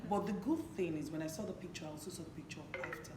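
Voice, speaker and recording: A hoarse woman from Nigeria, hosting a talk show in a conversational interview style. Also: fairly low pitch, rapid-fire, a little mellow. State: hoarse, partly lost voice. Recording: echoey room, clearly noisy background.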